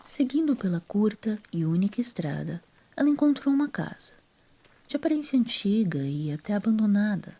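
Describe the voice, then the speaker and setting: A woman's voice: medium pitch, big pitch swings, moderate pace, mellow. A woman narrating a scripted story as the narrator of an audio drama.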